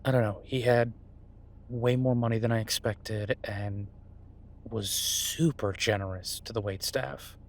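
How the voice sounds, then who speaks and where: A man's voice: fairly low pitch, steady tone, measured pace, slight background noise. A man acting as an easygoing chef talking to a partner in a naturalistic audio roleplay, conversational, hesitant and wry. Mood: surprised, curious. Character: Beau, easygoing male chef, reassuring his werewolf partner. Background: ambient sound effects.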